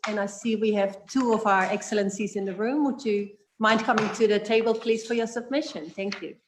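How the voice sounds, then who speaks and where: A woman's voice: mid-range voice, fairly even intonation, brisk, a little hiss or hum. A woman chairing a parliamentary committee hearing, speaking politely with some fillers.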